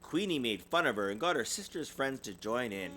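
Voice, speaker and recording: A man's voice: medium pitch, big pitch swings, a little fast, pushed and bright, a little hiss or hum. A man reading a children's storybook aloud as a parent would, expressively and with small stumbles. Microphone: USB desktop microphone.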